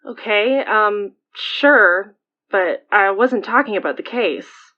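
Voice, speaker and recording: A woman's voice: mid-range voice, lively intonation, slow pace, highs cut off. An actress playing a casual, teasing, supportive female friend in a naturalistic audio drama. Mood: casual, awkward.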